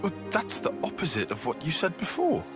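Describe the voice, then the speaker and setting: Anxious bookish game soldier, careful formal wording, varied intonation, faint music. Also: male voice, on the high side, wildly swooping pitch, a little fast. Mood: taken aback. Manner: hesitant, precise formal wording. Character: cautious, bookish young male soldier, anxious planner. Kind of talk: video game cutscene acting.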